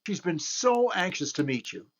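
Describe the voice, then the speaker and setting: An actor playing a polite, upbeat master of ceremonies in 1930s radio show style, on a slightly dull recording. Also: male voice, high voice, big pitch swings, normal speed. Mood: upbeat, presenting. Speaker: show host.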